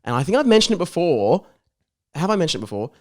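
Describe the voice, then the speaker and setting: A man's voice: on the high side, sing-song, quick pace. An Australian man, a comedian guesting on a podcast, chatting casually with jokey banter.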